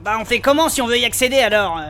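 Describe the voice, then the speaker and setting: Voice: mid-range voice, very fast, a bit bright, natural voice. An actor playing a small, naive, cheeky adventurer in a comic fantasy audio drama, speaking casually. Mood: naive, curious.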